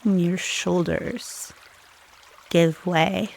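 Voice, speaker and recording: A woman leading a guided meditation in a soothing, unhurried way, leaving long pauses between short phrases. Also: lowish voice, steady tone, creaky, a little mellow, a little hiss or hum.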